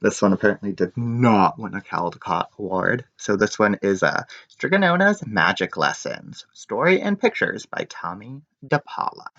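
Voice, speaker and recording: A man's voice: on the low side, big pitch swings, normal speed, hardly any pauses, fairly bright tone. A man reading a picture book aloud to children like a teacher, expressively and with chatty asides. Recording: dull, echoey room recording.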